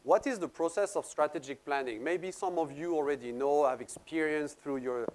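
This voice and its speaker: A man's voice: fairly high pitch, moderate pace, darkish tone. A man with a French accent, a native French speaker, giving a seminar presentation in an explanatory way and often repeating words as he searches for phrasing. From France.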